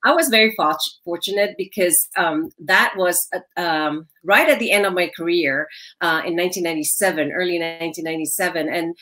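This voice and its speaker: A woman's voice: lowish voice, brisk. Middle-aged woman from Singapore on a video call. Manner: animated, laughing, acts out quoted dialogue. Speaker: guest, personal stylist. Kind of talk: casual interview chat over video call. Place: home room with shelves, indoors.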